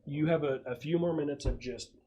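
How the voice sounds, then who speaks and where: A man running a tabletop game, narrating off the cuff in a halting, explanatory, conversational way. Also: fairly high pitch, muffled recording, a crowd in the background. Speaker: game master. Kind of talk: improvised tabletop game narration and casual chat.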